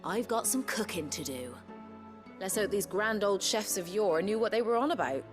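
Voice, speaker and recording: Wry, lively tavern cook played by an actress. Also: female voice, mid-range voice, moderate pace, over music, natural voice. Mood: brisk, teasing. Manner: wry, plain-spoken. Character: down-to-earth, teasing tavern cook woman, no nonsense. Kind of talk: video game voice acting.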